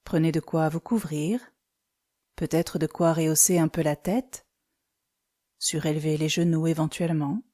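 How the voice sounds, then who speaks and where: A woman leading a guided yoga nidra meditation as an instructor, speaking calmly and soothingly in short phrases with pauses. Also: sits low.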